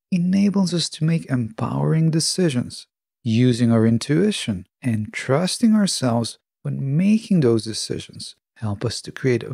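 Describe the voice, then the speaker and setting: A man hosting a solo podcast, reading a scripted monologue in a measured, instructive way. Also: medium pitch, vocal fry, mellow, no echo, clean studio sound. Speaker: podcast host.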